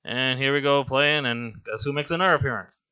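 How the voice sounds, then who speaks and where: A hoarse man with laryngitis giving casual, rambling, amused gameplay commentary as a voice-over. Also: medium pitch, fairly quick, highs cut off, over loud music. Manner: rambling, amused and self-deprecating. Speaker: game commentator.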